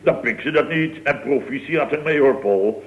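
A man's voice: mid-range voice, normal speed, lightly acted. A man acting a middle-aged, jovial, blustering boss in a radio comedy, bantering sarcastically with lots of exclamations. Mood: mocking. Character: blustering, jovial, mocking boss of a building firm. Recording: muffled old radio broadcast recording.

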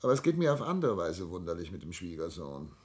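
An older man acting in a German radio play as a cultured, melancholy widowed patriarch, speaking in deliberate, theatrical, literary phrases. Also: low voice, very animated, darkish tone. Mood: bitter, uneasy.

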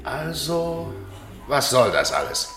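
Sardonic, haughty gentleman, swooping intonation. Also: medium pitch, wildly swooping pitch, music in the background. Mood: brusque. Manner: sardonic, condescending, deliberate pauses. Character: haughty, suspicious rich English husband, a titled gentleman. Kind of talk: German radio crime drama acting.

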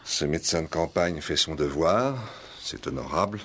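An actor in a 1960s French radio play voicing an educated, suave gentleman, formal and guarded, with articulate deliberate speech. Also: very deep voice, slight background noise. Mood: smooth, assured.

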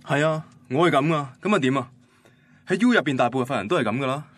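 A man's voice: medium pitch, lively intonation, fast talker, fairly bright tone. An actor playing a heartbroken young man recounting his first love in a radio drama, wistful and self-deprecating, with a Hong Kong Cantonese accent. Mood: defensive. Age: young adult. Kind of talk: radio drama narration and dialogue.

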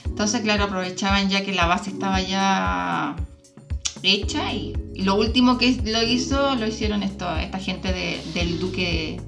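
A woman's voice: medium pitch, unhurried, gravelly, over music. A woman with a Chilean Spanish accent, hosting a travel podcast in a casual, informal, chatty way. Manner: chatty, informal, prompts her guest with questions. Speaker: podcast host.

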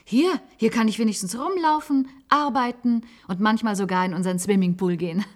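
An actress playing a wistful, resigned former secretary in a German science-fiction radio play, confiding in halting phrases. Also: female voice, medium pitch, natural voice. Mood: anxious, resigned. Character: wistful former secretary, resigned patient living in an isolated institute.

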